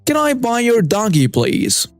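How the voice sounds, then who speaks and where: A man's voice: very high voice, huge pitch swings, measured pace, no room sound, soft background music, voice pushed up, full character voice. A man doing a squealing spoiled little boy. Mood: whiny. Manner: whiny, squealing. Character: excited spoiled little boy, whiny and demanding.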